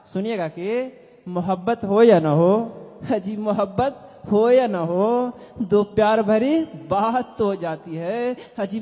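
Young man, very high voice, declamatory open mic poet, reverberant hall. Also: a little mellow. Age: young adult. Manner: declamatory, repeating refrains with rising and falling emphasis. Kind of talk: reciting Hindi poetry on stage. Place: indoor event stage before an audience. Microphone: stand mic.

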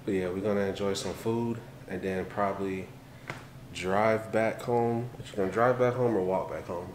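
A man's voice: on the low side, steady tone, moderate pace, a little hiss or hum. An American man, a vlogger, chatting casually to the camera in a laid-back, repetitive way. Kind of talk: casual vlog chat to camera. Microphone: handheld camera at arm's length.

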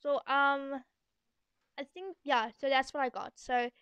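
A woman's voice: sits high, steady tone, unhurried, fairly bright tone, dry recording, muffled recording. A young girl doing a casual, chatty and rambling gaming voiceover as the host of the video. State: sore throat. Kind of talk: casual game commentary voiceover. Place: echoey room at home, voice-over.

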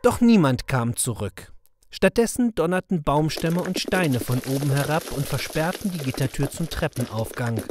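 A man's voice: medium pitch, darkish tone, slight background noise. A man delivering scripted narration as the storyteller of a children's audio drama.

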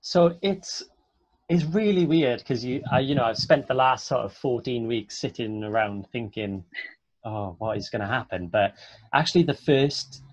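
A man's voice: mid-range voice, normal speed, no room sound. Chatty Welsh man on a video call. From Wales. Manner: chatty, many fillers and restarts. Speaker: actor, guest speaker. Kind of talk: casual video-call interview. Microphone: earphone mic on a video call. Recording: dry, slightly dull video-call audio.

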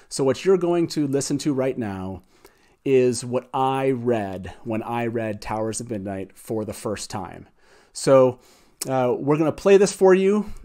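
A man in his forties hosts a show in a casual, conversational way. His voice sits at an average male pitch, picked up by a studio mic. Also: dark tone. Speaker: show host. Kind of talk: casual talk introducing a reading. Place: small wood-panelled studio. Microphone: close studio microphone on boom arm.

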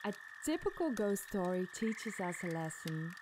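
A woman's voice: medium pitch, very animated, unhurried. A woman podcast host delivering dramatic scripted storytelling. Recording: clear background night ambience noise.

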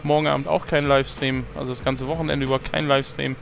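A hoarse man hosting a let's play, chatting casually and self-mockingly, thinking aloud with fillers as he plays. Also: mid-range voice, level tone, fast, soft background music. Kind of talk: casual gameplay commentary.

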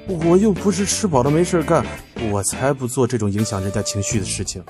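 A man's voice: medium pitch, brisk, close to an everyday voice. A man playing a proud, prickly young office worker who is evasive about love, in an amateur audio drama. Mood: flustered, defensive. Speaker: amateur voice actor. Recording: loud music behind the voice.

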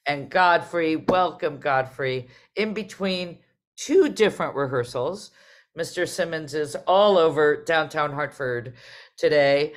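A woman's voice: deep voice, unhurried. A middle-aged woman hosting a panel conversation over a video call in a welcoming manner. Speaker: host, theater artistic director.

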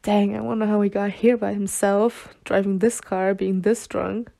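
A woman's voice: medium pitch, level tone, moderate pace, creaky, dark tone, no echo, studio quality, lightly acted. A woman acting a protective, exasperated, foul-mouthed twin sister who is tender with her girlfriend, in an ASMR roleplay. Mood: exasperated muttering. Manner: sweary, sighing, half-muttering. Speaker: roleplay voice actor.

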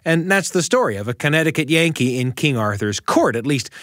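An American man hosting a radio show in an expressive storytelling style, speaking directly to the listener with dramatic pauses. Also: a little high, no room sound, clean studio sound. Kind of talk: expressive scripted storytelling narration.

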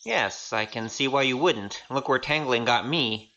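An actor in an audio drama playing a quick-witted young swordsman, wry and coaxing. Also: mid-range voice, pushed and bright, muffled recording, a little hiss or hum.

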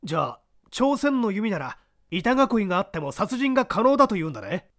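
A male narrator voicing an excitable middle-aged private detective who talks in an old-fashioned, lordly way. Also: high-pitched, a little fast, voice pushed up, full character voice. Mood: probing. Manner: old-fashioned lordly speech, flustered and effusive.

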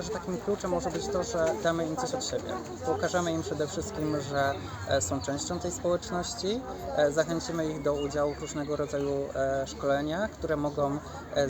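A man giving a spontaneous interview answer in halting, repetitive, careful official phrasing. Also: fairly high pitch. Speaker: city office representative, interviewee. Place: busy outdoor fair. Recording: outdoor event with crowd noise.

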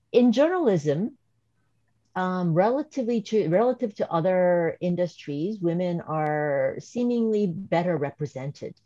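A woman in her fifties from South Korea with a slight Korean accent, speaking as a professor on a webinar panel in a measured, thoughtful way. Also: low voice, warm tone, no room sound. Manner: measured, thoughtful, some restarts. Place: home or office video call. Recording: dry, slightly dull video-call audio.

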